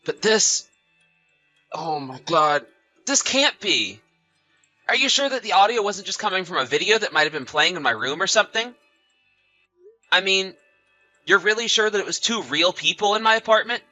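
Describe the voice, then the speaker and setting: A man's voice: high voice, lively intonation, moderate pace, pauses often, pushed and bright, faint music behind, full character voice. A man playing an anxious, trusting husband in a scripted audio story, apologetic and full of questions. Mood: shocked disbelief. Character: anxious, trusting husband often away on business.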